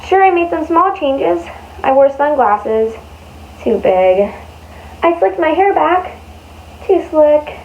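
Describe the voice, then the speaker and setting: High-pitched woman reading a children's story. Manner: animated, exaggerated emphasis with drawn-out words. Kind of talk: expressive read-aloud of a picture book for young children. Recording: dull lo-fi sound with faint noise.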